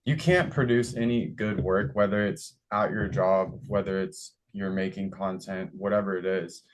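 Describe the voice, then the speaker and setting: A young man co-hosts a podcast in a rambling, friendly way, stringing thoughts together with "like" and "you know." His voice is on the low side, and his talk stays conversational and unhurried. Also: fairly even intonation. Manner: rambling, filler-heavy, agreeable. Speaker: podcast co-host. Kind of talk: casual podcast chat.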